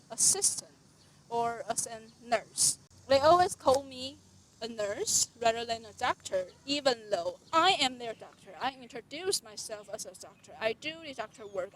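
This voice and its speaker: Earnest young woman, slightly high. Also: fairly bright tone, low-quality recording. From Taiwan. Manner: earnest, deliberate, with occasional restarts. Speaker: resident doctor. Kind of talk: answering interview questions.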